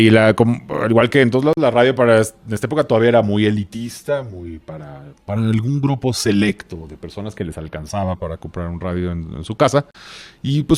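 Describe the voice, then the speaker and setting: A man's voice: lowish voice, darkish tone, dry recording. A man co-presenting a podcast, explaining things in a casual, joking, informal way.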